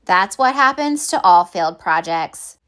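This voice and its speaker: A woman's voice: lowish voice, expressive, unhurried, a bit bright. A woman voicing a doubtful, matter-of-fact but kindly cockroach in a children's storybook.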